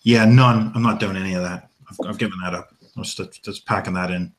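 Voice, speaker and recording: A man co-hosting a casual chat show, rambling and hesitant, with many pauses and fillers, and a dry, self-mocking way of talking. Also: low-pitched, fairly even intonation. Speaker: podcast co-host.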